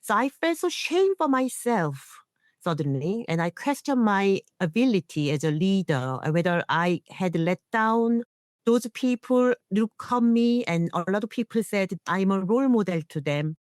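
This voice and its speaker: A woman's voice: medium pitch, very animated, unhurried, a little mellow, no room sound, clean studio sound. A middle-aged woman, an English speaker, giving an earnest personal account as an interview guest. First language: not English. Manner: earnest, non-native phrasing. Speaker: guest, internationally trained nurse and leader.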